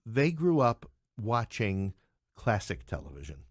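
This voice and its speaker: A man's voice: fairly low pitch, very animated, a little slow, dry recording, studio quality. A middle-aged man from New York City talking as a podcast guest, opinionated and emphatic, repeating phrases for stress. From New York City, United States. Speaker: podcast guest, playwright and English professor. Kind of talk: conversational interview, opinionated.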